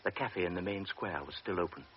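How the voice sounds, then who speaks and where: A man narrating an old radio drama in a grave, measured way. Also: sits low, slight background noise. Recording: dull lo-fi radio broadcast.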